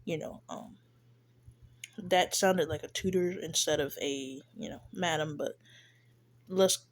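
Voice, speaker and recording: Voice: mid-range voice, fairly even intonation, measured pace. Stuffy, shy, hesitant commentator. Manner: shy, hesitant, many fillers and restarts. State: stuffy, congested nose. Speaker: reaction video creator. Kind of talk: casual commentary on a played clip. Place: lives in Texas.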